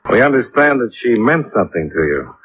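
An actor playing a businesslike male investigator in old radio detective style, measured and matter-of-fact, both in dialogue and in narration. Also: mid-range voice, very animated, lightly acted. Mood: firm, probing. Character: steady, businesslike male investigator who narrates his own case. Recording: dull old radio recording with music.

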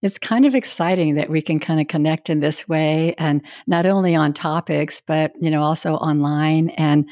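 A woman's voice: low voice, fairly even intonation, normal speed, few pauses, mellow, no echo, clean studio sound. A woman who is a reverend, hosting a spiritual podcast and interviewing a guest in an earnest, conversational way. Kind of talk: scripted intro then casual interview. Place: home in Wisconsin, USA.

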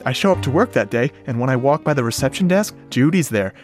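A man's voice: mid-range voice, expressive, fast talker, natural voice. Man playing a lovestruck geeky radio-drama narrator, music underneath. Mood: eager, reflective. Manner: confiding, earnest, dramatic asides. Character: lovestruck, self-deprecating, geeky male sound-effects artist. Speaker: narrator and lead character. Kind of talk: first-person radio drama narration, conversational.

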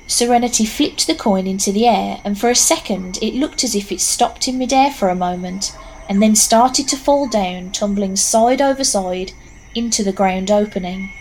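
A woman's voice: medium pitch, normal speed, darkish tone, slight background noise, natural voice, rough recording. A woman narrating a horror audio drama as a wry storyteller. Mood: suspenseful. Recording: faint outdoor ambience behind.

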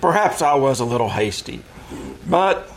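A man's voice: medium pitch, very animated, moderate pace, a little hiss or hum, rough recording. A man acting a stuffy, indignant, easily flustered bridegroom in a 1940s-style radio play. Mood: stiff, flustered. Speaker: amateur radio troupe actor.

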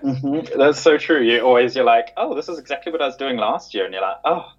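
A man's voice: mid-range voice, expressive, a little fast, a crowd in the background. A man with a New Zealand accent chatting casually as a podcast guest about his own experiences. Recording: dull, narrow lo-fi connection.

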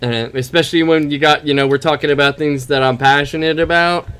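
A man's voice: on the high side, steady tone, brisk, fairly bright tone, clean tone. A talkative musician in his mid thirties chatting casually in an interview, rambling along in slangy, informal speech. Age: mid 30s. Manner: informal, slangy, calls listener bro and man. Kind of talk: casual chat.

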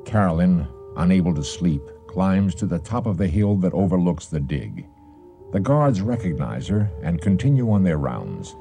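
A man giving deliberate, suspenseful scripted narration for a radio drama. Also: sits low, sing-song, gravelly, mellow. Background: faint music.

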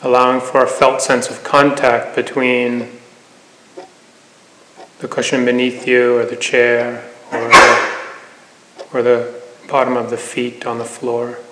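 Echoey, even-toned man guiding meditation. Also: medium pitch. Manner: unhurried, with long pauses between phrases. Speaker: meditation teacher. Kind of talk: guided meditation instruction. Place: meditation hall. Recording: echoey hall, noticeable reverb, faint noise.

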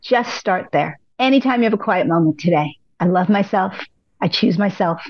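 A woman, a pilates instructor, giving upbeat, encouraging advice as a podcast guest, a little hoarse from losing her voice. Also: fairly low pitch, lively intonation, moderate pace, dry recording, dull audio. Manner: upbeat, encouraging, speaks in repeated affirmations. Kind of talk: casual interview advice.

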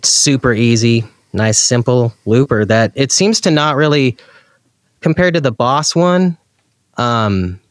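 A man's voice: mid-range voice, lively intonation, takes it slowly, no room sound. A man speaking as an interview guest about gear he loves, in casual, slangy, laid-back enthusiasm. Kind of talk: casual chat.

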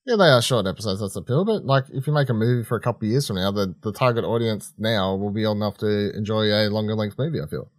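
A man's voice: lowish voice, quick pace, few pauses, no echo, studio quality. A man co-hosting a podcast in casual banter, blunt and offhand, swearing freely. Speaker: podcast co-host.

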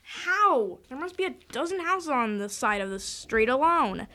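A high-voiced performer playing a tired, exasperated young husband in an amateur comic radio play. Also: big pitch swings, a little slow, sharp tone, exaggerated voice. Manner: pleading, exasperated.

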